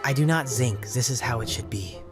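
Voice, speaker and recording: A woman's voice: very deep voice, fairly quick. A woman voicing a wary cartoon animal fighter, with stilted, formal foreign-sounding phrasing, in a comic dub. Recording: voice under loud background music.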